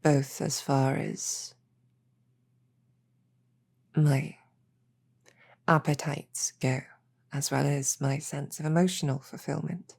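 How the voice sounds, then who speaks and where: A sultry succubus, acted out by a woman in a bedtime roleplay audio. The voice is deep for a female, husky and mellow, often sinking toward a whisper. The demoness teases gently and lingers over each phrase with intimate affection. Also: fairly even intonation, exaggerated voice. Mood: tender, earnest. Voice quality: breathy, soft, low. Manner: teasing, intimate, unhurried, halting. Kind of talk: roleplay audio drama, whispered sleep-aid ASMR.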